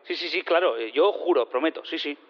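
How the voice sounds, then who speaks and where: An actor in an audio drama playing an eager space physicist on the witness stand, rambling, hesitant and repeating himself. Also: male voice, fairly high pitch, fairly quick, close to an everyday voice. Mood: nervous, eager. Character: rambling, eager male space physicist who teleports things. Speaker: witness in a courtroom. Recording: dull lo-fi sound in long reverb.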